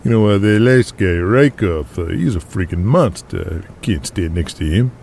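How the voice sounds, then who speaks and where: A man playing a blunt, foul-mouthed eighties action movie star, talking in a halting, streetwise, casual way. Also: deep voice, animated, vocal fry, darkish tone, slight background noise. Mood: blunt, impatient.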